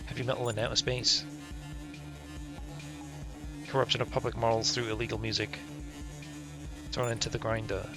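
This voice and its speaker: A man's voice: medium pitch, level tone, moderate pace, long pauses. A man live-streaming a game, reading its text aloud and adding casual, sweary, offhand comments, with game music behind him. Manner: casual, profane, offhand asides.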